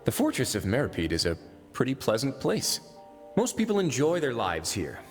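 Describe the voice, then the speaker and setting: A man voicing a calm, shrewd prison lord in an anime game, wry, unhurried and politely threatening. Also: mid-range voice. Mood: composed. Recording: long reverb, music underneath.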